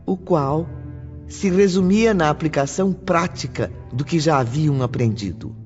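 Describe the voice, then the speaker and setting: Faint music sits under a man narrating an audiobook on a slightly muffled recording. He reads very slowly and solemnly, with formal, deliberate phrasing at a middle pitch. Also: lively intonation, darkish tone. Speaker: third-person audiobook narrator. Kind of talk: scripted narration. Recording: dull lo-fi with music bed. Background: faint background music.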